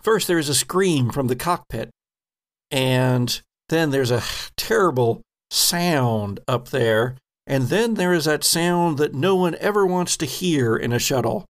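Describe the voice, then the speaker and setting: Slow-paced, gravelly man narrating. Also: on the high side, animated. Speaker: game master, podcast host. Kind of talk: narrating a tabletop horror role-playing game.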